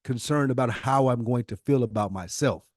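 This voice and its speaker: A man's voice: mid-range voice, moderate pace, a little mellow, no echo, studio quality. A man co-hosting a podcast in casual chat, thinking aloud reflectively and circling back over his words. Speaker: podcast co-host.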